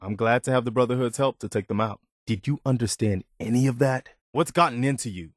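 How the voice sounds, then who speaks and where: An actor playing an earnest, dutiful, plainspoken militia lieutenant in a post-apocalyptic video game. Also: male voice, mid-range voice, fairly quick, no echo, clean studio sound, close to an everyday voice. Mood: earnest, concerned. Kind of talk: video game character dialogue.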